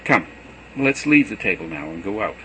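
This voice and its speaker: A man voicing Jesus as a gentle teacher saying farewell to his students, earnest and measured. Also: deep voice, sing-song, a little hiss or hum. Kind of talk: reading a gospel aloud.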